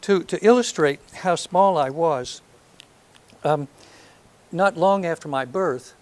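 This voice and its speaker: Soft-voiced older man from Alabama. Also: on the high side, slow pace. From North Alabama. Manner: hesitant, many ums, self-deprecating humor. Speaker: lecturer. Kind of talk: last lecture, reminiscence. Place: lecture hall with audience. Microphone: handheld or podium microphone.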